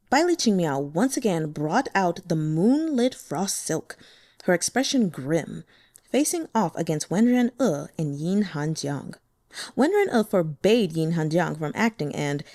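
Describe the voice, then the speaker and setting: Expressive woman audiobook narrator. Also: lowish voice, a little slow, no echo, studio quality. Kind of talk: reading a translated web novel aloud.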